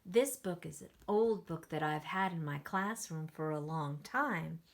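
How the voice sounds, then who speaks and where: A woman teacher reading a picture book aloud to children, animated, with gasps and questions to the kids. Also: deep voice, measured pace, gravelly. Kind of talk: children's story read-aloud.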